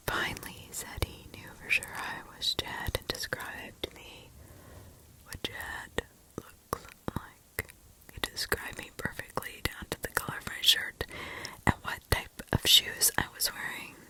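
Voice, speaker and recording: A woman reads scary stories in a hushed, breathy ASMR whisper with deliberate pauses, close to the microphone. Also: rough recording. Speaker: ASMR host. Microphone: close ASMR microphone, ear to ear.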